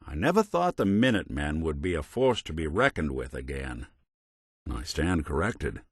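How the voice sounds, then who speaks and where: An actor playing a world-weary, loyal robot detective in a video game, with wry, folksy 1940s private-eye slang. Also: male voice, very deep voice, big pitch swings, moderate pace, husky, no echo, clean studio sound, exaggerated voice. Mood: dry, resigned. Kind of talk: video game companion voice lines.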